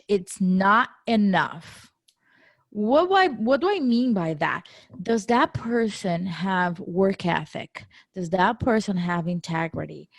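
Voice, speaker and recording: A woman's voice: medium pitch, dry recording. A woman co-hosting a podcast, talking animatedly and laughing easily at her own grammar slips. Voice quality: non-native English accent. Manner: animated, laughs often, self-deprecating about grammar. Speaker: podcast co-host. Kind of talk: casual podcast chat.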